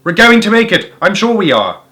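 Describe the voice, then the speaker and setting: A man doing a breathless fox father urging his family on, lifted and bright. The voice rises well above the reader's normal pitch. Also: sing-song, brisk, heavily acted. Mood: urgent. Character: male fox father, panting, brave and hopeful.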